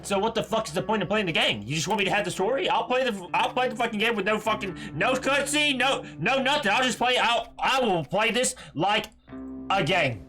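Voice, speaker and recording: A young man commentates on a game as he streams it. His voice is fairly high and sharply bright, and he talks in casual, profane, repetitive rants. Soft music sits behind him. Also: expressive, fairly quick. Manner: ranting, repetitive, slangy.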